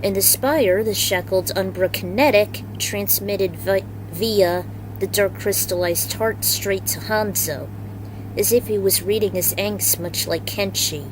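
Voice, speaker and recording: A woman's voice: mid-range voice, measured pace, noisy background. A woman reading a dramatic fantasy story aloud as its narrator, in an amateur recording. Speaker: fan fiction reader. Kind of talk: story narration with character voices.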